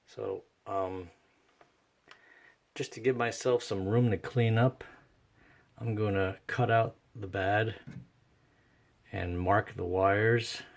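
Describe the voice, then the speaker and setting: This is a man with a very low voice and plenty of fry in it. He narrates a workbench job casually and without a script. His delivery is halting, as if working out each step aloud while he goes. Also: fairly even intonation. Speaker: host of a radio repair vlog.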